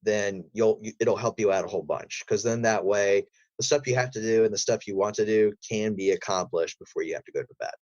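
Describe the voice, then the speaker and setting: A man's voice: lowish voice, fairly quick, hardly any pauses, a little mellow. A man speaking as a podcast guest, giving advice in casual, emphatic, rambling explanations. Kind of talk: casual interview chat with advice. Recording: roomy, slightly dull audio.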